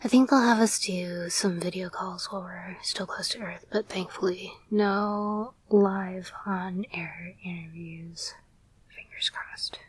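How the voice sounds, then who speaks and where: A woman playing an affectionate, playful girlfriend and caretaker in an intimate roleplay audio, speaking in a soft, breathy whisper with a gentle, hesitant murmur. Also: on the low side, fairly even intonation, slow pace, dry recording, heavily acted. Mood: calm, informative.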